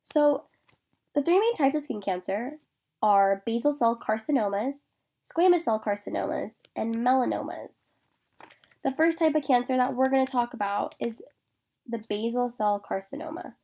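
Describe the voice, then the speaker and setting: A woman's voice: fairly high pitch, moderate pace, creaky, warm tone. A young woman giving an informational class presentation over slides, congested from a sinus infection and reading steadily through lists of facts. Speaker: student presenter.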